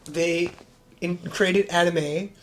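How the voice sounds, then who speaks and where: A man's voice: sits high, fairly even intonation, extremely slow, a little hiss or hum, low-quality recording. A young man in casual podcast chat, loose and opinionated, with many fillers.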